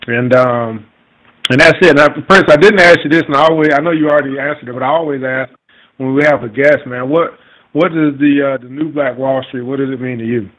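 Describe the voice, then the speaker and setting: A man hosting a conference call in an informal, halting way, often repeating himself as he goes. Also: medium pitch, fairly bright tone, rough recording. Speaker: host of an investing conference call. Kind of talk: casual hosting, informal chat.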